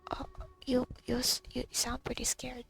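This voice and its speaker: A woman's voice: high voice, monotone, moderate pace, creaky, low-quality recording. A woman giving casual, offhand commentary in a murmur while she plays a video game. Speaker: gamer recording own playthrough. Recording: game music behind the voice. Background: video game music.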